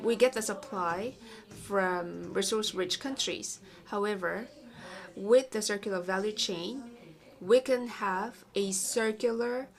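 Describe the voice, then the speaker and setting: A woman giving a simultaneous interpretation of a conference presentation, measured and with small self-corrections. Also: medium pitch.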